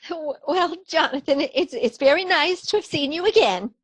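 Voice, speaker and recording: A woman as a high-pitched fluttery elderly aunt. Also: lively intonation, measured pace, no room sound. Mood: polite, flustered. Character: sweet, timid elderly aunt, fluttery and polite. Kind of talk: stage comedy radio acting.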